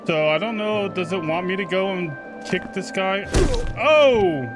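Excitable man doing casual gameplay commentary. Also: high-pitched, unhurried, over music. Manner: excitable, profane, reacts aloud and talks to viewers. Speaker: gaming video host.

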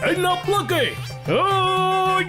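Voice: extremely high pitch, steady tone, extremely slow, heavily acted. A performer voicing an aggressive, swaggering bully in a comedy cartoon, barking taunts and threats. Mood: menacing. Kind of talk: Bengali comedy cartoon voice acting. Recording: music under the voice.

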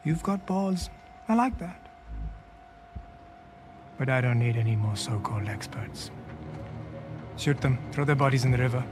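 A man playing a middle-aged, self-righteous warlord villain in a cinematic action game, speaking deliberately and theatrically with menace. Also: mid-range voice, expressive, over music, natural voice. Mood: cold, menacing.

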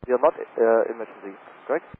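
A man's voice: medium pitch, fast. A man working as an airline pilot, speaking in clipped readbacks over aviation radio. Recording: tinny aviation radio, clear static.

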